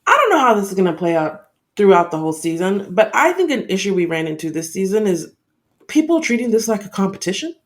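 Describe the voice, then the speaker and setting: A woman co-hosts a podcast in a casual, explanatory style. Her pitch is average overall but moves in a very animated way as she lays out her opinions point by point. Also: fast talker. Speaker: podcast co-host.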